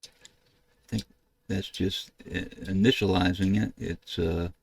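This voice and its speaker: An older American man with a low, creaky voice talks through a computer demonstration. He speaks casually and haltingly, thinking aloud as he goes. His delivery is flat, with little rise or fall. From United States. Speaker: ham radio video host. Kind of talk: casual screen-share tutorial narration. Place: indoors at a computer desk.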